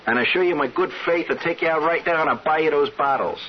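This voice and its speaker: An actor playing a scheming, brash, streetwise barfly hustler in a radio drama, talking in tough, wheedling slang. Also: male voice, fairly high pitch, quick pace, natural voice. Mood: coaxing, sly. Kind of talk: old-time radio drama acting. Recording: dull, noisy radio drama recording.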